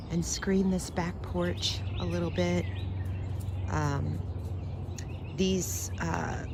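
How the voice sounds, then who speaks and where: A middle-aged woman with a fairly low voice talks casually as a vlog co-host. Her speech is informal and conversational, with clear ambient noise around her. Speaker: co-host and vlogger. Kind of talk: casual chat.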